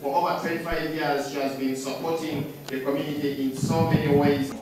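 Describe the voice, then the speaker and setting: A man's voice: a little high, little pitch movement, a little fast, recorded from a distance, loud music behind the voice. A man acting as master of ceremonies, formally and courteously presenting awards over a microphone in a function room. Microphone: handheld microphone, picked up from the room. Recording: very echoey room, off the PA.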